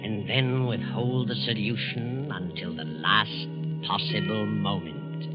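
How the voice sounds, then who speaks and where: Male radio host, measured ominous narration, lo-fi 1940s broadcast, loud music underneath. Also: lowish voice.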